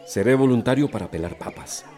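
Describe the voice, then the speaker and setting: An actor playing a good-humoured newcomer in a radio soap opera, wry and easygoing. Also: male voice, medium pitch, lively intonation, a little fast, darkish tone, long reverb tail, lightly acted.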